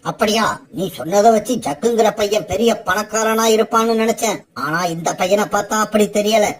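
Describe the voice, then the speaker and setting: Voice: medium pitch, normal speed, slightly rough, natural voice. Actor doing a cartoon bird parent, grown up, earnest n a bit stern. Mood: sceptical. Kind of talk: children's cartoon voice acting.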